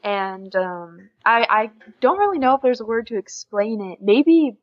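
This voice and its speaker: A woman's voice: fairly high pitch, animated, measured pace, no echo, highs cut off. A teenage woman from the USA with an American accent, chatting informally and giggly as a podcast guest. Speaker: podcast guest, exchange student. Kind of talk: casual interview chat.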